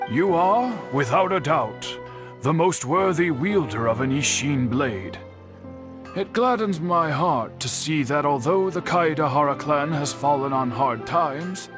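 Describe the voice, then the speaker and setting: A man playing a dignified, earnest traditional master swordsmith in a game, deliberate and formal in speech. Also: on the high side. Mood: admiring, earnest. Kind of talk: game voice acting.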